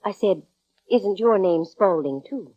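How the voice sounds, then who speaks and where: An actress playing a brisk, wry young American private detective in 1940s radio drama style. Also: female voice, mid-range voice, lively intonation, no room sound. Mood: wry, inquisitive. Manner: wry, breezy, hard-boiled. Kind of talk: 1940s radio acting, first-person detective narration. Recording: dull, band-limited old radio recording.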